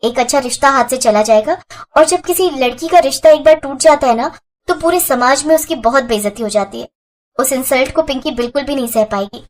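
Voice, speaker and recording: A woman's voice: sits high, fairly quick, natural voice. A woman voicing a kind, sensible young sister-in-law who keeps the peace in a moral story. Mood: earnest reproach. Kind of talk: Hindi moral story voice acting.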